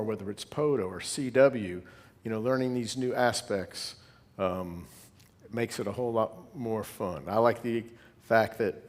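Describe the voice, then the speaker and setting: A man giving an informal, conversational slide presentation to fellow hobby club members, wandering into asides as he goes. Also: mid-range voice. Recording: long hall reverb.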